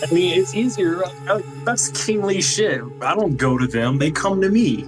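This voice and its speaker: A man's voice: high-pitched, animated, normal speed, over music. A man chatting casually as a player in a tabletop role-playing game.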